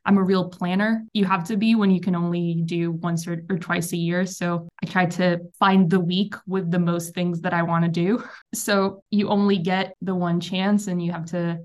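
A woman in her twenties with an Israeli accent, chatting as a podcast guest in a lively, self-deprecating way with frequent restarts. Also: on the low side, moderate pace, hardly any pauses. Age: 20s. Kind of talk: casual chat.

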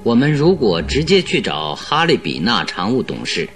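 An actor playing a composed, shrewd private detective in a Mandarin radio drama, speaking in a measured, instructing manner. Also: male voice, medium pitch, sing-song, fairly bright tone, soft background music. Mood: businesslike.

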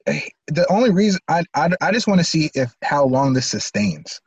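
A man's voice: fairly high pitch, very animated, normal speed, slightly rough. A man hosting a casual interview in a slangy, conversational way, on a slightly lo-fi recording. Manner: slangy, often checks "you know what I mean". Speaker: podcast host.

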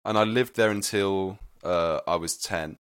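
A man's voice: low voice, unhurried, clear, resonant tone, no room sound, clean studio sound. A young British man from Wales, a native Welsh speaker with a mixed British accent, chatting casually and self-deprecatingly as a podcast guest. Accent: mixed British, slightly London. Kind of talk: casual chat.

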